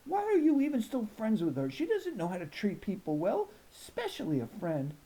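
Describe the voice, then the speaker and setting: A man's voice: high voice, wildly swooping pitch, moderate pace, mellow, a little hiss or hum, voice pushed up, full character voice, poor audio. A man voicing a tough, protective farm grandmother in a storybook, blunt and emphatic. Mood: indignant. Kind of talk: storybook character reading.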